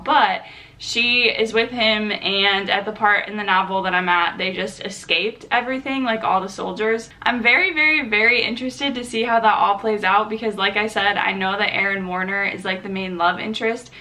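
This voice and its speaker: A young woman in her mid-twenties talks to camera at home in an easy, rambling way, dropping "like" all through her sentences. Her voice is bright, though her nose is stuffy and her throat sore from allergies. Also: mid-range voice, normal speed, low-quality recording. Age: 20s. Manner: chatty, lots of "like" fillers, gushing and critical about books. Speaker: book vlogger. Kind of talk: casual chat to camera.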